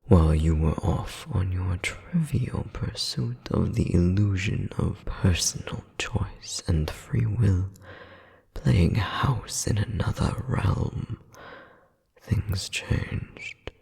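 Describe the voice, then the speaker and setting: A man voicing a cold, condescending royal demon older brother in an audio roleplay, speaking formally and deliberately in a breathy, gravelly voice. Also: darkish tone, long reverb tail, full character voice. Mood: reproachful, haughty. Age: adult, centuries-old demon. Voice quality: breathy, gravelly low whisper. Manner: formal, haughty, slow and deliberate. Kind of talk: dramatic audio roleplay monologue.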